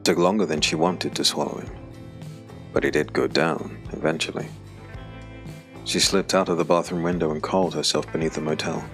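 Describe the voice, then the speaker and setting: A man narrating a dark fantasy audiobook drama in measured, weighted phrases. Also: a bass voice, fairly even intonation. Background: background music.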